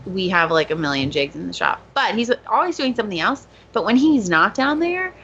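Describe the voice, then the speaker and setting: A woman's voice: medium pitch, sing-song, fairly quick, fairly bright tone, husky. A woman chatting casually as a podcast co-host about her work. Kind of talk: casual chat. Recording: dull lo-fi audio with background noise.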